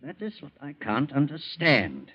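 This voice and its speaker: An actor playing an authoritative autopsy surgeon, clinical, deliberate and emphatic, in 1940s radio drama style. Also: male voice, on the high side, wildly swooping pitch, husky, full character voice. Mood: clinical, assured. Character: matter-of-fact, authoritative autopsy surgeon giving his findings. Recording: muffled, lo-fi 1940s radio recording.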